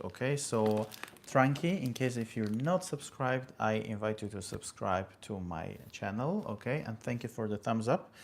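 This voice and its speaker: A man's voice: fairly low pitch, slight background noise. A man from Armenia hosting a casual livestream from California. He thanks viewers by name and talks about philosophy with many fillers and asides. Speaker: livestream host. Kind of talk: casual livestream talk reading viewer comments. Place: home in California.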